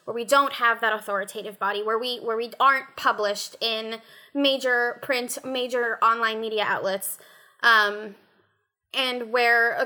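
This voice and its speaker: A woman's voice: a little high, bright, forceful tone. A woman speaking as a critic in an earnest roundtable, building long, careful sentences. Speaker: critic on a panel.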